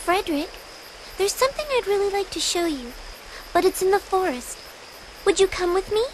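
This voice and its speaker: A woman voicing a gentle, wistful young girl with magic powers in a video game, soft and wondering. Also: very high voice, lively intonation, moderate pace, background noise. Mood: earnest. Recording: background music under voice.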